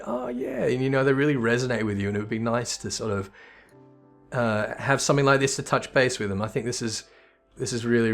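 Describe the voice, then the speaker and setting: A man giving casual, reflective commentary as he plays through a video game. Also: lowish voice. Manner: reflective, musing. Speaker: gaming channel host.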